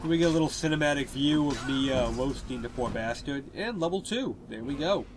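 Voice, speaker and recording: A man with a stuffy cold, giving relaxed, explanatory gameplay commentary over a walkthrough. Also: medium pitch, brisk, noisy background.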